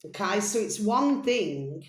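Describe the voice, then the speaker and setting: A tired woman video blogger chatting casually and ramblingly to her viewers, pausing often and repeating pet endearments. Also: medium pitch, very animated. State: mentally tired, drained. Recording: echoey, roomy sound.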